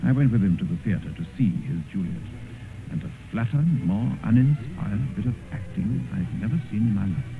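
An actor playing a worldly, cynical gentleman in an old radio drama, suave and amused, with drawling, epigrammatic delivery. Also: fairly low pitch, animated, normal speed, vocal fry. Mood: smug. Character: worldly, cynical English gentleman, older mentor and corrupter. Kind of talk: 1950s radio drama acting and first-person narration. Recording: muffled, hissy old radio broadcast.

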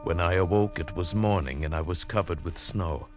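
An actor playing a mountaineer who recounts his ordeal in dramatic, deliberate phrases, in the style of mid-century radio drama. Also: low voice, muffled recording, close to an everyday voice. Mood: subdued, shaken. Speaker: narrator and lead actor. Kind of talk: 1940s-50s radio drama narration. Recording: vintage radio recording with music behind.

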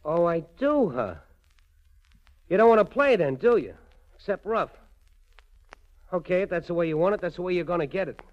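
An actor playing a tough, hot-headed racketeer in a 1940s radio drama, talking in blunt, streetwise slang. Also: male voice, high voice, lively intonation, normal speed, pauses often. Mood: angry, threatening. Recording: muffled 1940s radio broadcast recording.

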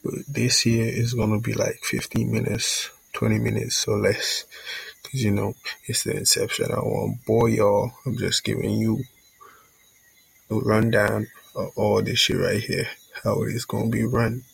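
A man's voice: on the low side, little pitch movement, unhurried, gravelly, husky, no room sound, low-quality recording. A young man from Jamaica hosting a casual solo podcast intro in a laid-back, rambling way, often saying "you know what I'm saying". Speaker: podcast host.

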